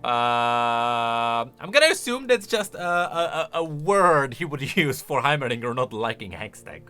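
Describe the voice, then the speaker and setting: A man's voice: medium pitch, big pitch swings, unhurried, bright, forceful tone, clear, resonant tone, faint music behind. A man hosting casual reaction and explanation commentary, talking in an emphatic, conversational way. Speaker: video host.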